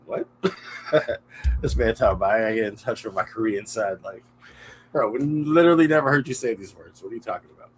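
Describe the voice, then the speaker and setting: A man's voice: on the low side, a little fast, slight background noise, poor audio. A man co-hosting a podcast, chatting casually with blunt, slangy reactions. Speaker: podcast co-host. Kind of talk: casual chat.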